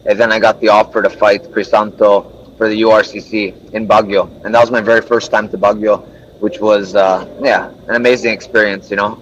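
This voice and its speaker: A young man raised in Japan, an MMA fighter, chatting in a relaxed, friendly way with lots of fillers, sore from hard training. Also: fairly low pitch. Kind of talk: casual interview over a call. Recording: dull lo-fi audio with faint noise.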